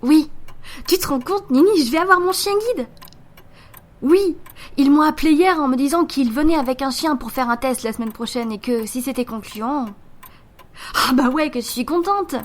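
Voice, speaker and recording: Woman acting a sensitive teenage girl, higher-pitched. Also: moderate pace, natural voice. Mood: excited. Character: Sibylle, insecure blind teenage girl, sensitive. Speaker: actor in an amateur audio fiction. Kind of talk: audio drama dialogue. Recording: music behind the voice.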